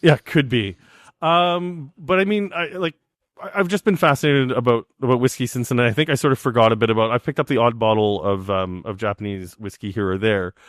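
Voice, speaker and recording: A man's voice: medium pitch, brisk, no room sound, clean studio sound. A man in his forties co-hosting a podcast, telling stories in a chatty way with frequent ums. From Canada. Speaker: podcast co-host. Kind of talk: casual chat.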